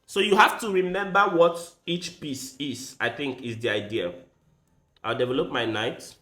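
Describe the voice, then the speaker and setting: Male chess streamer, Igbo first language, bright lively delivery, unhurried, echoey room. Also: mid-range voice. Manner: thinks aloud while playing, with quick bursts of excitement. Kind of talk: casual live-stream commentary.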